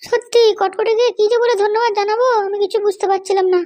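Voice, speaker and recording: A man voicing a bossy, short-tempered middle-aged cartoon wife with a West Bengal accent and a pitched-up voice. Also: fairly even intonation, fast talker, a little mellow, no room sound. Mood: insistent. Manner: scolding, threatening. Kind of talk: comic cartoon dialogue.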